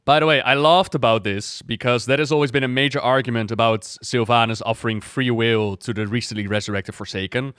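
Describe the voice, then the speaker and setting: A man with a clear, smooth voice of average pitch gives casual game reaction commentary. He thinks aloud like a streamer chatting to viewers, recorded dry and close. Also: steady tone, a little fast, hardly any pauses, a bit bright, no room sound.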